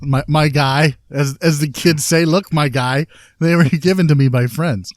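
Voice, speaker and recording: A man's voice: on the high side, steady tone, measured pace, dry recording, clean studio sound. A man co-hosting a casual podcast outdoors, opinionated and fond of complaining, but enthusiastic about what he likes. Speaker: podcast co-host. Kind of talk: casual chat. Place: outdoors, light breeze.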